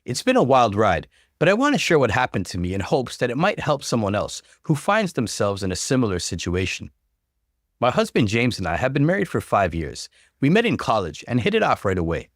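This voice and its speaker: A man reading an online story aloud as the channel's narrator, in an expressive storytelling manner. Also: medium pitch, fairly quick, no room sound, clean studio sound.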